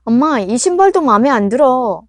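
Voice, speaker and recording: A bitter, sharp-tongued teenage girl, as a woman reader puts her on, her voice pitched high and pushed loud. Every line comes out as a sudden, accusing outburst in a dry, close storybook reading. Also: expressive, dry recording. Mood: petulant. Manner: accusing, snapping, rapid outbursts.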